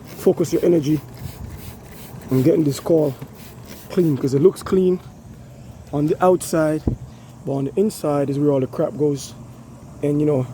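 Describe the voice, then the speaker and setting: A hoarse man who has lost his voice, casually explaining an air conditioner cleaning while he works outdoors. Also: fairly high pitch, moderate pace, pauses often, warm tone, slight background noise. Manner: loose, repetitive, talks while working. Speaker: HVAC technician. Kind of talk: casual how-to demonstration.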